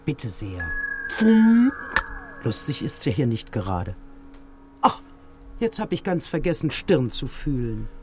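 Old carefree grandma, slow and playful, cheeky exclaiming, music behind. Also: female voice, a bass voice, big pitch swings, heavily acted. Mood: grumbling, cheeky. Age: older.

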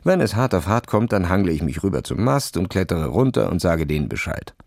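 Cocky, creaky-voiced boastful boy played by a man. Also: deep voice, very animated, a little fast, darkish tone, no room sound, studio quality, full character voice. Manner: bragging, sneering, casual youth slang. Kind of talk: children's audio story reading.

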